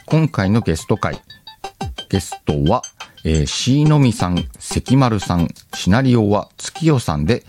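A man's voice: low voice, warm tone. A man hosting a casual solo audio show, reading out listener letters and chatting to himself with self-deprecating banter and frequent laughter.

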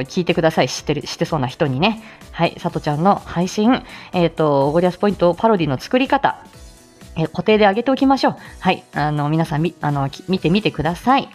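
A woman's voice: on the low side, darkish tone. A middle-aged woman hosting a casual morning livestream, chatting politely and gigglily with her listeners and making announcements. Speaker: host of a live audio show; speech-language therapist.

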